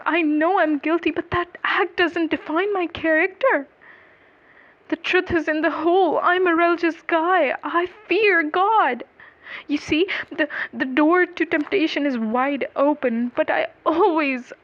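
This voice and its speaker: A woman narrator playing an anguished male defendant in a dark story, pleading and exasperated as he justifies himself. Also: sits high, a little slow, lo-fi sound, voice pushed up. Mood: desperate pleading.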